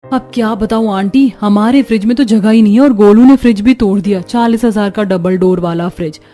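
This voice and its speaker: A woman's voice: mid-range voice, brisk, warm tone, natural voice. A woman voicing a stingy, snobbish rich young housewife in a cartoon, dismissive and full of excuses. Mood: boastful excuses. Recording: music behind the voice.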